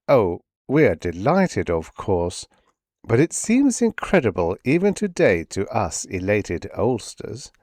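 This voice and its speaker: A man's voice: fairly low pitch, a little mellow, no echo. A man narrating an audiobook as a nostalgic, proud old project chief, speaking in a reflective, faintly formal way. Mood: wry, musing. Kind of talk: first-person audiobook character narration.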